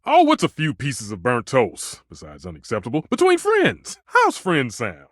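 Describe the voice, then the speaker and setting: A man's voice: medium pitch, wildly swooping pitch, moderate pace, a bit bright, no room sound, studio quality. A man voicing a passionate, romantic stove who is a chef in a video game, with a theatrical, grandiose, exclamatory delivery. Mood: playful, encouraging. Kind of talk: video game character acting.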